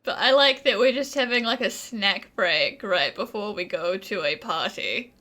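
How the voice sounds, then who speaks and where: A woman's voice: medium pitch, animated, moderate pace, bright, forceful tone. A woman giving casual, playful commentary as she plays a game. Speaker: gaming streamer reacting to a game.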